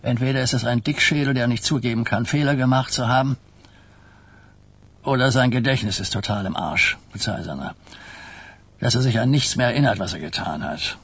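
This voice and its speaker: An actor playing an old, weary and blunt New York mafia boss in a German radio crime drama, husky and grumbling. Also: male voice, mid-range voice, a little fast, fairly bright tone. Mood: bitter. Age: older.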